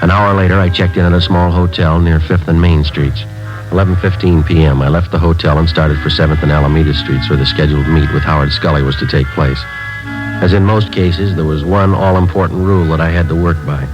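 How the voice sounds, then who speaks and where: A man playing a terse, deadpan American police sergeant in a 1950s radio drama, giving clipped case narration. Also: sits low, a little fast, over music, lightly acted. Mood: matter-of-fact. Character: terse, matter-of-fact male police sergeant narrating his cases. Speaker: narrator and lead police detective. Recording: muffled old radio broadcast recording.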